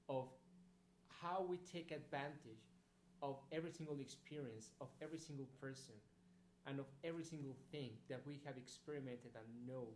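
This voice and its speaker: A male graduate giving a recorded congratulatory message, earnest and searching for words. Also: mid-range voice. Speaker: graduate sending a video message. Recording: echoey recording with faint hum, played in a hall.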